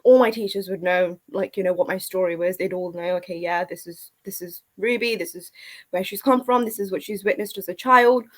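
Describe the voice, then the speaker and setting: A woman's voice: mid-range voice, fairly quick, a bit bright. A woman with a London accent, a podcast guest talking candidly and conversationally about personal experience, often saying like and you know. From UK, born in India to an Afghan family, moved at three. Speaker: podcast guest, author and speaker. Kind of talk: candid personal storytelling in an interview.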